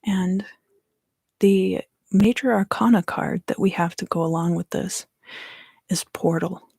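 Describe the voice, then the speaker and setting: A woman reads tarot in an ASMR style, slipping between soft speech and whisper. Her voice is lowish and breathy with a gravelly fry. She speaks in measured phrases with deliberate pauses. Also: dry recording, clean studio sound. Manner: hushed, drifting in and out of whisper. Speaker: tarot reader and ASMR host.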